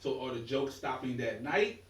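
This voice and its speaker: A man's voice: medium pitch, expressive, normal speed, echoey room, distant mic, a crowd in the background. A man hosting a podcast, casual and encouraging as he runs a music quiz. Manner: easygoing, encouraging, laid-back slang. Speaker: podcast host. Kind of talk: casual podcast chat, running a quiz game.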